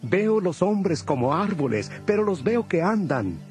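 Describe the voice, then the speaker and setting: A man's voice: high-pitched, sing-song, unhurried, a little mellow, soft background music. A man playing a wondering blind villager in a dramatized audio Bible. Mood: amazed.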